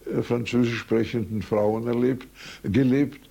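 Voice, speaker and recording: An older Swiss man whose first language is French tells his life story to an interviewer. His voice is dark and husky, and he rambles through anecdotes with many hesitations and restarts. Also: medium pitch, steady tone, a little slow. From Switzerland. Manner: rambling, anecdotal, frequent hesitations and self-corrections. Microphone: recorded on tape.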